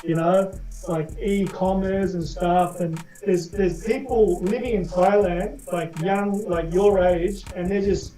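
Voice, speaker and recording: A man's voice: sits high, fairly even intonation, slow. Aussie guy late 40s, chummy slangy chat, far from mic, echoey room. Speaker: caller in a group voice chat. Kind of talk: casual chat. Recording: distant, roomy voice chat with music.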